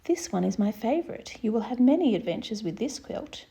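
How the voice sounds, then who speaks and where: A woman voicing a kindly, mysterious shopkeeper in a children's storybook, gentle and knowing. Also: a little high, big pitch swings, fairly quick, gravelly. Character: kindly, mysterious shopkeeper who believes in magic.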